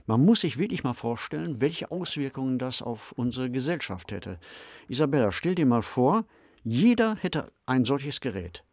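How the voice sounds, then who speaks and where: A man co-hosting a podcast discussion with a creaky voice, engaged, questioning and emphatic. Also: medium pitch, sing-song, normal speed, a little mellow, no echo. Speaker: podcast co-host. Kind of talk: conversational discussion.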